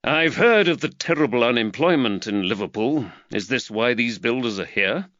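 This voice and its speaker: A man voicing a polite, measured detective with a dry wit, close to his own voice. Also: mid-range voice, animated, fairly bright tone, no room sound, highs cut off, lightly acted. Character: calm, dry-witted young male detective.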